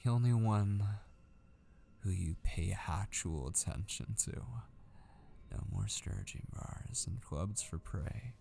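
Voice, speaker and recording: A man acting an obsessive, possessive kidnapper in an intimate ASMR roleplay, patient and menacing, hushed and often slipping into a breathy whisper. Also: low-pitched, very animated, slow pace, exaggerated voice. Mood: coaxing, possessive.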